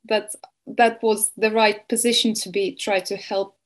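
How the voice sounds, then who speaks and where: A woman's voice: mid-range voice, little pitch movement, unhurried. A young adult woman from Estonia, a keto coach, answering interview questions on a video call with many hesitations and restarts. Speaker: keto coach and nutrition advisor. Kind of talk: webinar interview.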